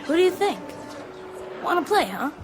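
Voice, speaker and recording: A woman's voice: very high voice, sing-song, normal speed, music in the background. A woman playing a casual, easygoing kid absorbed in an arcade game in a radio drama, offhand and youthful.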